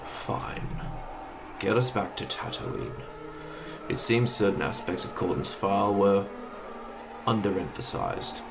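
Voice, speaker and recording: A man's voice: fairly low pitch, lively intonation, slow, long pauses, heavy background noise. A man playing a menacing, calculating imperial villain in an audio drama, formal and restrained. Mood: cold, brooding. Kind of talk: scripted audio drama acting. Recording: distant, under very loud music.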